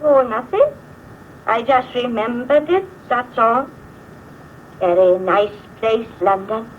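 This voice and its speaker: Rambling old Welsh auntie, tinny recording. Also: female voice, a little high, slow pace. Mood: wistful, rambling. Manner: rambling, musing, homely. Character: rambling, nostalgic elderly village auntie. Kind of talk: 1950s British film dialogue. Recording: tinny old film sound on hissy cassette.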